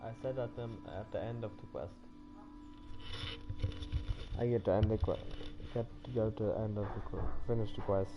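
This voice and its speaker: A man's voice: fairly low pitch, steady tone, moderate pace, long pauses, lo-fi sound, over loud music. A man giving casual live commentary as a game streamer. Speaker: game livestreamer.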